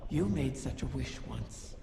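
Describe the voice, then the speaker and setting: Slow, echoing sinister male tempter. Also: mid-range voice, lively intonation, vocal fry, noisy background. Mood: coaxing reproach. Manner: slow, insinuating, reproachful. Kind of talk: video game voice acting. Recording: echoey, long reverb under music.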